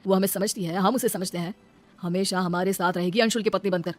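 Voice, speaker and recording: A woman's voice: mid-range voice, fairly even intonation, fairly quick, lightly acted. A woman voicing a kindly, grieving older father-in-law in a cartoon family melodrama, earnest and consoling, with music behind. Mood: persuasive.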